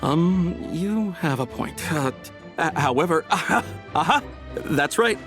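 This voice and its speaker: A man's voice: a little high, expressive, a little slow. A man voicing a flustered, fawning tea merchant in a video game, stammering and apologetic as he evades his customers. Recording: music behind the voice.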